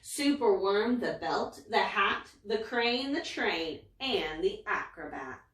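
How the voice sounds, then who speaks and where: A woman's voice: medium pitch, animated, takes it slowly, fairly bright tone, distant mic. A woman reading a rhyming picture book aloud in a dramatic, emphatic way. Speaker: story reader. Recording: echoey room, away from mic.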